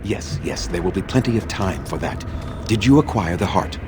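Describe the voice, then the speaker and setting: An actor playing an ancient, unforgiving elven mage in a video game, speaking in a stern, formal and scornful manner. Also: male voice, low voice, lively intonation, normal speed, background noise. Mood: measured, grave.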